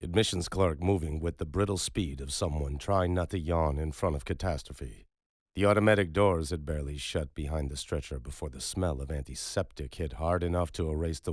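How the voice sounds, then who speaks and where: A man narrating a dark thriller audiobook in a measured, moody storytelling manner. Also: extremely low pitch, no room sound, studio quality.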